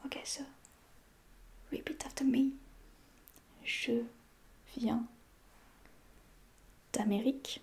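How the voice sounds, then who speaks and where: Slow whispering French woman. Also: fairly high pitch, fairly even intonation, creaky. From France. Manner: soft whispering, patient repetition of phrases. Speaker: ASMR creator playing a French teacher. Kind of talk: whispered ASMR roleplay lesson.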